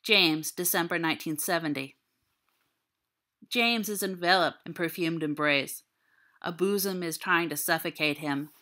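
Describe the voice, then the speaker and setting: Female audiobook narrator, low-pitched but bright and forward, reading scripted literary prose. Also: a little slow. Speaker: audiobook reader.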